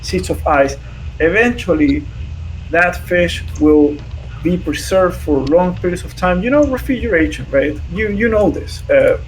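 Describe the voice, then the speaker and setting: A man explains a point on a livestream in a slow, halting way. His voice sits fairly high, and he keeps checking in with "right?" between fillers. A faint hiss sits under the talk. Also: poor audio.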